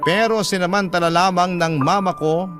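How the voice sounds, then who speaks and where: A man's voice: high voice, steady tone, normal speed. A man with a Filipino accent reading a listener's letter as dramatic scripted narration, as a radio storyteller. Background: background music.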